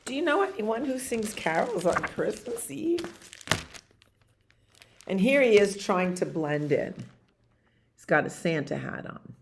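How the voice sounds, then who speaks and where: A woman in her sixties reading aloud at storytime in an expressive way, with chatty asides about the pictures and questions for her listeners. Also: mid-range voice, unhurried, pauses often, a little hiss or hum. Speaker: library storyteller reading picture books to children. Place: library activity room, indoors.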